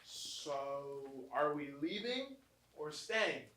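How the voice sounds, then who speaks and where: An actor playing an uneasy young clubgoer narrating a horror tale in a theatrical, deliberate staged reading. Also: mid-range voice, animated, a bit bright. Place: small theatre, recorded from a distance. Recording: distant roomy stage recording, faint noise.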